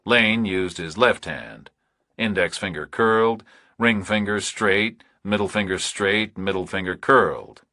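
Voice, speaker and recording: Low-voiced man narrating a thriller. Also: pushed and bright, no room sound, clean studio sound. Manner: measured, terse phrasing. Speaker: audiobook narrator.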